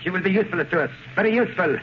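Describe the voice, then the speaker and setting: An actor playing a menacing, grandiose cult prophet from India in a theatrical, commanding 1930s radio drama style. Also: male voice, high-pitched, rapid-fire, natural voice. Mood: sinister command. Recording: muffled, noisy 1930s radio recording.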